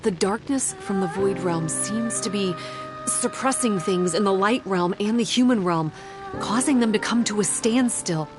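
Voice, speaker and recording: A woman playing a composed, guarded young woman who explains things in a measured way in a video game, music behind. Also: mid-range voice, lightly acted. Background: game background music.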